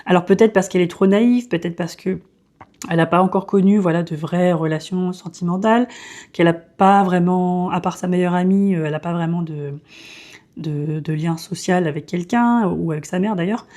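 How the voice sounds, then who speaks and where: A woman's voice: on the low side, moderate pace, mellow. A woman in her thirties giving a casual, hesitant book review full of fillers and restarts. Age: 30s. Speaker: book vlogger.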